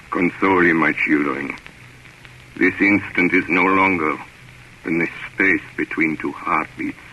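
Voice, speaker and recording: A man playing an ancient, lonely and commanding vampire count in solemn, incantatory 1930s radio horror style. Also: exaggerated voice. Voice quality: deep, resonant, forceful. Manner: slow, solemn, incantatory pleading. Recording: noisy, muffled old radio recording.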